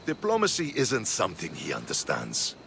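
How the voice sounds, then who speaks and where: An earnest male Spartan soldier from a video game. He is principled and measured, with a widely swinging pitch, and music plays behind him. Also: huge pitch swings, vocal fry, exaggerated voice. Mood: grave. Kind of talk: video game dramatic dialogue.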